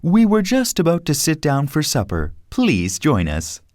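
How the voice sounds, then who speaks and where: A man voicing a bold, cheerful outlaw leader, speaking in a higher, brighter tone than his narration. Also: huge pitch swings, a little slow, dry recording, clean studio sound. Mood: welcoming.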